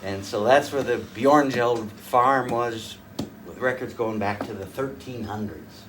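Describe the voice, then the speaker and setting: A man's voice: mid-range voice, unhurried. Older male folk fiddler, rambling folksy storyteller, recorded from across the room with faint room hum. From rural northwest North Dakota. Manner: rambling, folksy, with frequent uh's and restarts. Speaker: folk fiddler giving a talk. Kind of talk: casual storytelling to a small audience. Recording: distant mic, faint room noise.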